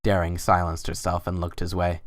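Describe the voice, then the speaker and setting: A man's voice: low voice, monotone, moderate pace, no room sound, studio quality. A man giving a dramatic, scripted reading of a story as its narrator.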